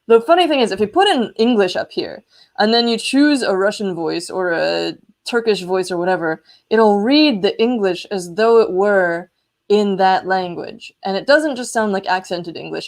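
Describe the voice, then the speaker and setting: A woman hosting a casual live electronics demo, chatty and enthusiastic as she explains hardware. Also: medium pitch. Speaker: host of an electronics prototyping livestream.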